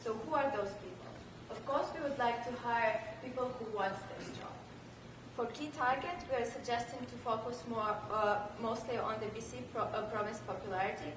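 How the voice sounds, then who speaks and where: A young woman from Russia with a Russian accent, giving a rehearsed student presentation. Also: fairly high pitch. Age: young adult. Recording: echoey noisy room, distant microphone.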